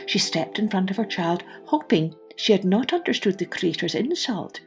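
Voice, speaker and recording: A woman narrating a scripted children's story as storyteller and host, with expressive, deliberate phrasing. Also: mid-range voice, soft background music.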